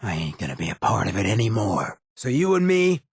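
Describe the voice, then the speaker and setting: An actor playing a roguish, streetwise ghoul in a video game, with a very raspy, gravelly voice and a cocky, laid-back, slangy way of talking. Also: male voice, lowish voice, wildly swooping pitch, brisk, no room sound, studio quality, heavily acted. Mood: stern, disappointed. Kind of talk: video game companion dialogue.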